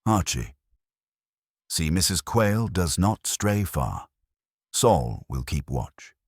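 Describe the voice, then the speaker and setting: A man voicing a stern, imperious detective who speaks formally and deliberately in an audiobook mystery. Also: deep voice, sing-song, husky, dry recording, studio quality, exaggerated voice. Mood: commanding. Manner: formal, deliberate, pronouncing judgment. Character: stern, imperious male genius detective, coldly accusing.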